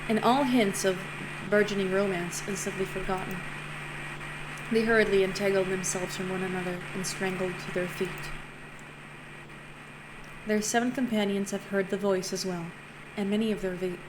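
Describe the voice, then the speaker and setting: A woman streamer reading a visual novel aloud, narrating lively with playful asides and self-corrections. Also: medium pitch, normal speed. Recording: noisy home stream recording.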